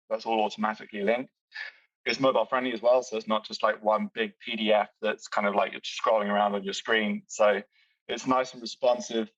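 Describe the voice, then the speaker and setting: British man explaining software, distant and dull. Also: on the low side, no echo. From Britain. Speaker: software company executive. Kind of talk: explaining product features in a podcast chat. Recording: distant dull-sounding mic, dry.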